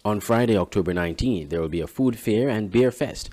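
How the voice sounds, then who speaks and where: Male news reporter, Caribbean accent, lower register, scripted bulletin delivery. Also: brisk, darkish tone.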